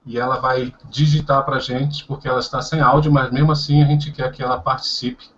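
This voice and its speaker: A man's voice: mid-range voice, little pitch movement, fast talker, a bit bright. A Brazilian man hosting a casual language-practice video call, upbeat and laughing often, switching between Portuguese and English. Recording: dull lo-fi video call audio.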